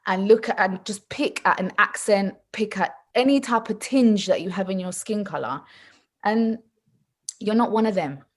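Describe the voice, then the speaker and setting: A woman born in England, a mother talking in a casual, animated way with lots of asides and restarts. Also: medium pitch, normal speed. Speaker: mother and podcast guest. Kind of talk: casual conversational chat.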